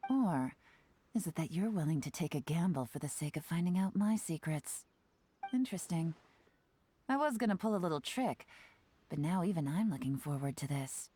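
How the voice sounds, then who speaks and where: A woman voicing a shrewd, playful young spymaster in a video game, teasing and self-assured, with a smooth delivery. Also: sits low, animated, moderate pace, mellow, slight background noise. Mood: intrigued, sly. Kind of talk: scripted video game character dialogue. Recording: game music behind the voice.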